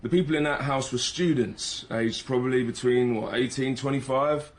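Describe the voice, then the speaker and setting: A man's voice: medium pitch, normal speed, close to an everyday voice. A needling police detective, played by a male actor in a radio drama. His mid-range voice carries mockery and insinuation as he goads the person he is questioning. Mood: mocking. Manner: sarcastic, goading, insinuating. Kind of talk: naturalistic radio drama acting.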